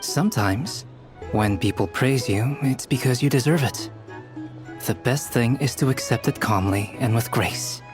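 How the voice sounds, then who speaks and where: Game casting: man to play a gracious, modest male alchemist, instructive and even-tempered, music underneath. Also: mid-range voice, close to an everyday voice. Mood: calm. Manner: measured, polite, instructive. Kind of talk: video game voice acting.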